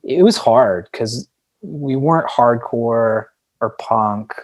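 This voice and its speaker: A man's voice: mid-range voice, slow pace. A man speaking as an interview guest in a casual, rambling and reflective way, with a self-deprecating streak. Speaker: podcast guest, indie band frontman.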